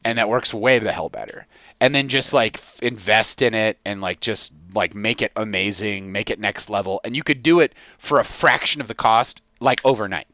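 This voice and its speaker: A man in his forties with an American accent chatting casually on a podcast, riffing in an excitable, profane, slangy way full of exaggeration. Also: lowish voice, moderate pace, dry recording, lo-fi sound. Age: 40s. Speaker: podcast co-host. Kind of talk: casual comedic podcast chat.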